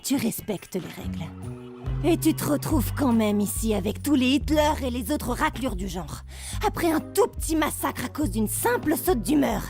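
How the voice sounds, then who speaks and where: A woman's voice: a little high, big pitch swings, normal speed, darkish tone, faint music behind. A woman voicing a bitter, vengeful schoolteacher in an amateur cartoon fan dub, narrating with sarcasm. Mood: resentful.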